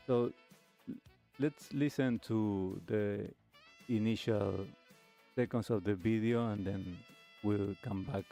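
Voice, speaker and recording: A man hosting a show and giving unscripted commentary in a halting, hesitant way. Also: lowish voice, warm tone. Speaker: show host. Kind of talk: unscripted commentary introducing a video.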